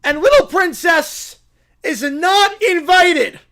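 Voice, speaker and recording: A performer voicing a bratty, bossy cartoon child who makes indignant, melodramatic shouted declarations. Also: extremely high pitch, slow pace, pitched above the performer's own voice, exaggerated voice. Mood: bossy, loud.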